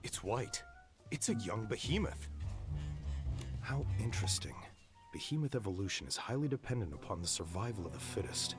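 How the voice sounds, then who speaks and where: An actor plays a scholarly male researcher in a game cutscene. His voice is low and precise, lecturing with detached fascination. Music is clearly audible underneath. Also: animated, normal speed, close to an everyday voice. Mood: fascinated. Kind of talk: scripted video game voice acting.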